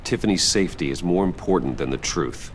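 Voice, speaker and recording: An actor playing a grave, guarded, protective man in a dramatic video game, with terse, hushed delivery. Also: low-pitched, fairly quick, a little hiss or hum, lightly acted. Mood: weary.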